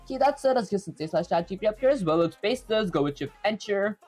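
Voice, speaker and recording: A woman streaming herself programming, chatting casually and thinking out loud with lots of "like" fillers. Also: medium pitch, animated, fast, faint music behind. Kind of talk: casual live coding stream.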